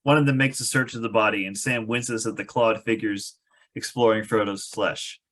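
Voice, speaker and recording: A man's voice: fairly low pitch, fairly even intonation, moderate pace, fairly bright tone. A man reading stage directions aloud as narrator of a fantasy screenplay table read.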